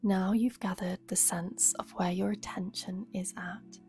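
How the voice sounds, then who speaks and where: Very slow, soft woman guiding meditation. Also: mid-range voice, steady tone, soft background music. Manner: soft, breathy, soothing, unhurried delivery. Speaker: yoga nidra teacher, meditation guide. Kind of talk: guided meditation.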